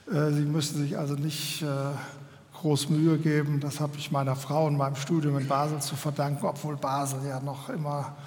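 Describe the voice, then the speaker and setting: A German man giving a public lecture to an audience, in a measured and earnest way, often pausing to find his words. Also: fairly high pitch. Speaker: guest speaker. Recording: long hall reverb.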